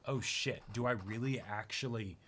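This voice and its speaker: A man's voice: lowish voice, moderate pace, a bit bright, a little hiss or hum. A man co-hosting a casual discussion, thinking aloud in loose, conversational phrasing. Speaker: co-host of an anime reaction discussion.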